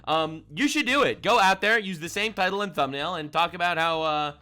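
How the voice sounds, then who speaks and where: A man streaming casual reaction commentary, sarcastic and mocking, often ranting loudly. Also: sits high, a little fast, smooth.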